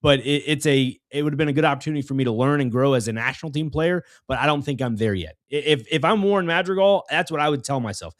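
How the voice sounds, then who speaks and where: A man's voice: a little high, fast, few pauses, no room sound, studio quality. A man co-hosting a sports talk show, giving conversational commentary in an earnest, explanatory way and often restarting his phrases.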